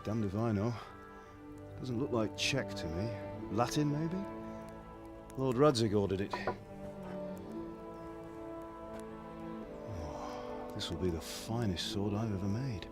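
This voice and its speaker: An actor playing a middle-aged blacksmith father in a video game, gruff and authoritative. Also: fairly low pitch, a little slow, over music, close to an everyday voice. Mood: pleased, businesslike. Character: stern middle-aged blacksmith father, proud of his craft. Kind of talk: video game cutscene acting.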